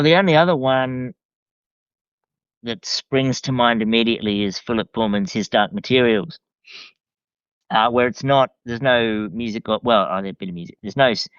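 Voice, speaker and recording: A man's voice: fairly low pitch, fairly bright tone, clear, resonant tone, no echo, lo-fi sound. A man chatting casually as a podcast guest, mild and reflective, with a hesitant delivery. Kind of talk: casual conversational book review.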